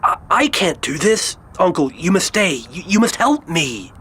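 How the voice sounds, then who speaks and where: A man's voice: sits high, very animated, a little slow, sharp tone, slight background noise, full character voice. Guy playing a nervous young nephew, audio drama, unsure n awed, voice swinging all over. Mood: anxious. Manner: questioning, hesitant.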